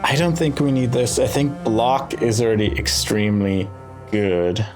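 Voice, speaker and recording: A man's voice: medium pitch, fairly even intonation, moderate pace. A man giving casual playthrough commentary on a game, thinking aloud as he decides, with game music behind him. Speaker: gaming video host.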